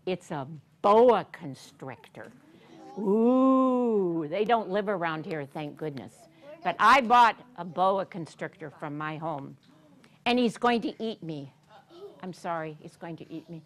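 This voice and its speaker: A woman's voice: fairly low pitch, expressive, takes it slowly. An American woman in her sixties reading to young children at story time in a teacherly, sing-song way, often prompting with questions. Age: 60s. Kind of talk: reading a picture book aloud to young children, interactive. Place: carpeted library program room, indoors.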